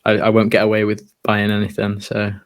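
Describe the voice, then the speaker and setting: A man's voice: fairly low pitch, completely flat delivery, brisk. A man chatting casually as a podcast guest, hesitant and joking in his delivery. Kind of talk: casual chat.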